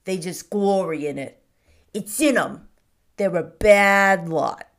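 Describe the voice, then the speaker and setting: A woman putting on a grumbling storybook shopkeeper, a middle-aged man who rails loudly and scornfully, dropping a little lower than her own voice and sounding set apart from the narration. He is a loud, bitter, stubborn type. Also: slow pace. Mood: indignant. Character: gruff indignant middle-aged male shopkeeper.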